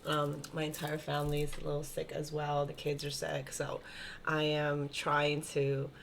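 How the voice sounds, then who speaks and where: A woman with a stuffy, congested nose giving a gentle, reassuring spiritual tarot reading and often checking in with her listeners. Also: low-pitched, completely flat delivery, normal speed, creaky, slight background noise. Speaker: tarot reader.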